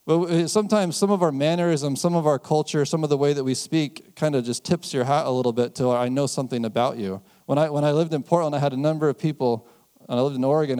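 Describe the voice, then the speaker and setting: A man's voice: fairly high pitch, dark tone. Conversational American male pastor. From United States. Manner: conversational, laughs often, slows down on key phrases. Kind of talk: sermon.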